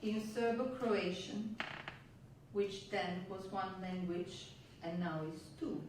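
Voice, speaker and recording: A woman's voice: medium pitch, noisy background. Woman telling a story in a roomy hall. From Yugoslavia (Vojvodina). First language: Hungarian. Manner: measured, reflective, with wry asides and pauses. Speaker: stage storyteller. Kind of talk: spoken-word monologue performance. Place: music venue stage. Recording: roomy, distant live hall sound.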